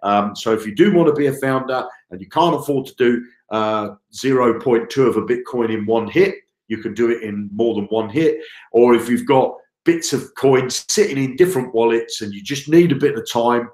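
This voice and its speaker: A man with a head cold talks through a live online sales pitch, his voice croaky and stuffed up in the nose. His pitch sits in an ordinary middle range. He speaks in a chatty, repetitive way, and the room adds a noticeable echo. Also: moderate pace, a little mellow. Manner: chatty, repeats himself and checks in with listeners. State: croaky and nasally blocked up from a cold. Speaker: presenter. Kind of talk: live-streamed sales presentation. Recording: roomy echo.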